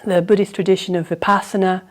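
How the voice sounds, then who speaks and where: A woman teacher leading a guided yoga nidra meditation, improvising gently in a soothing, unhurried way with reflective pauses. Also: mid-range voice, little pitch movement.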